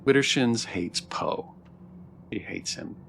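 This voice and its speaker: A man's voice: lowish voice, lively intonation, slow pace, gravelly, a bit bright, a little hiss or hum. A man streaming a game, reading dialogue aloud and adding casual asides.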